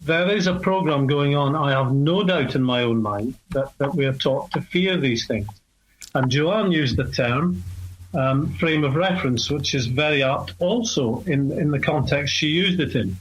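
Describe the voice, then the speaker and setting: A Scottish man in his sixties, calling in to a radio talk show and speaking in a measured, hesitant way with many fillers. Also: on the high side, rough recording. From north of Scotland. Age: 60s. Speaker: caller.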